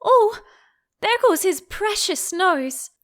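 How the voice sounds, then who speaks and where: A woman's voice: very high voice, animated, slow pace, pitched above the performer's own voice, full character voice. A woman voicing a polite, earnest storybook little girl with a prim manner, eager to show what she knows. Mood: alarmed.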